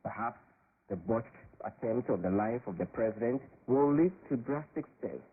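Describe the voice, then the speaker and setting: Husky low reporter on shortwave radio. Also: male voice, animated, normal speed, narrow, tinny audio. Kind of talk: scripted news report.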